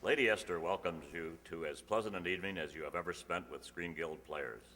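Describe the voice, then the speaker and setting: Very deep-voiced male radio announcer. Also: a little fast, pushed and bright, soft background music. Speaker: radio show announcer and narrator. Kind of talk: old-time radio announcing, scripted narration. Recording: reverberant hall stage recording.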